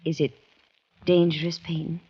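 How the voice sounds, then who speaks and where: An actress playing a devoted, hospitable Southern wife with a Southern US accent and a folksy, expressive way of talking in a radio drama. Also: female voice, low-pitched, moderate pace, slightly rough. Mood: anxious, insistent. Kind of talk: 1940s radio acting. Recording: dull vintage radio recording.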